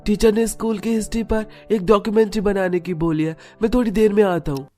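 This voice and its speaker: Guy doing a brash teen schoolboy for a horror cartoon, really high voice, music underneath. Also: steady tone, brisk, warm tone, close to an everyday voice. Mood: casual. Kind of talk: animated horror story dialogue.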